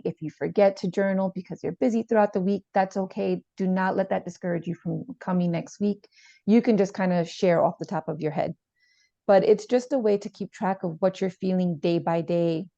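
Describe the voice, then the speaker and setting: A woman playing a calm, supportive family therapist, speaking in a reassuring, slightly hesitant way in a role-played session. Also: lowish voice, gravelly. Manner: reassuring, hesitant, repeats gently. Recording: echoey room, slightly dull sound.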